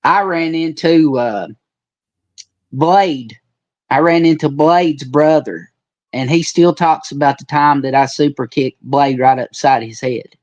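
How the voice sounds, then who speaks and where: Higher-voiced folksy man in his forties. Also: takes it slowly, no echo, muffled recording. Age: 40s. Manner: folksy storyteller with colloquial rural phrasing. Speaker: retired pro wrestler, podcast guest. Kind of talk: casual reminiscing chat.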